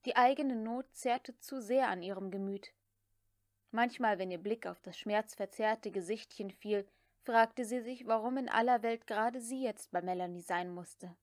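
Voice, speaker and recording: A woman narrates a literary audiobook. Her voice sits fairly high for a woman. The recording is dry, with very little room sound. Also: normal speed, darkish tone, no room sound.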